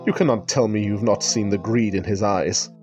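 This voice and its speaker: An actor playing an anguished, reclusive gentleman in a gothic radio drama, speaking in a formal, fretful, melodramatic way. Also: lowish voice, moderate pace, faint music behind, natural voice. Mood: despairing dread. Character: anguished, nervous reclusive gentleman, doomed last heir.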